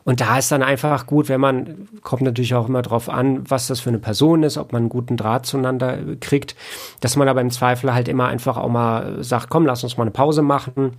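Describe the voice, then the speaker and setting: A man talking casually as an interview guest, explaining his work in a reflective, halting way with repeated words. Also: mid-range voice, steady tone. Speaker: podcast guest, audiobook editor and audio drama producer.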